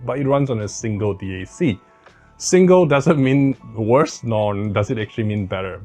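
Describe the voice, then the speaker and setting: A man's voice: medium pitch, darkish tone. A man hosting a tech review in a casual, conversational way, with emphatic stresses and self-correcting asides. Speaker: tech review video host.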